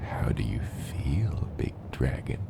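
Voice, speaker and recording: A man voicing a gentle, proud dragon father in an ASMR roleplay, tenderly encouraging his hatchling in a gravelly, often breathy and whispered voice. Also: heavy background noise, close to an everyday voice. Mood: playful, caring. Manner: slow, tender, encouraging, softly playful.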